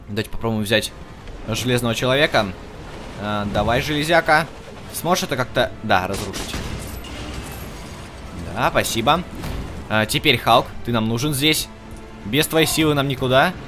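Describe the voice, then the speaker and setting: A man's voice: medium pitch, moderate pace, pauses often. Chatty man doing casual gameplay commentary over music. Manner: chatty, talks to game characters and viewers. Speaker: gaming video host. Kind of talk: casual let's play commentary.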